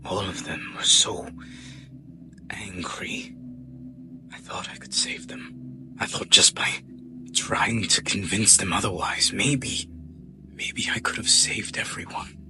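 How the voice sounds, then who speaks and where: A man voices a weary gothic hunter, burdened by grief yet resolute. His voice is very low and often drops toward a hushed near-whisper, with halting, heavy phrasing. Music plays behind him in audio drama fashion. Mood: anguished regret. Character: weary, grief-stricken male hunter, reluctant but resolute.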